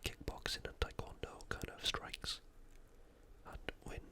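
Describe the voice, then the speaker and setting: Whispering ASMR sports commentator. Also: unhurried. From United Kingdom. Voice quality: breathy, unvoiced whisper. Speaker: host of ASMR fight preview videos.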